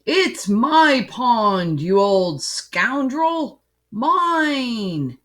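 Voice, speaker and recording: A woman voicing a blustering, indignant old male sea captain in a storybook, with booming exclamations. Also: sing-song, extremely slow, a bit bright, heavily acted.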